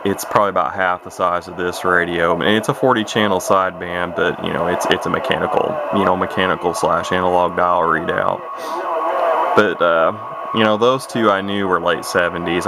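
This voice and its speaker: Low voiced guy w some fry, rambling folksy hobby demo, really loud radio hiss n static behind him. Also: brisk. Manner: rambling, folksy, plain-spoken. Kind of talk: casual unscripted product demonstration. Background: CB radio static and squalling.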